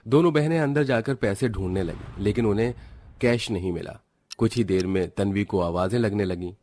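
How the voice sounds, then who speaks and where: Guy with a low voice reading out a story, some noise and clicks behind. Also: brisk, a little mellow. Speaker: narrator. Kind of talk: scripted storybook narration.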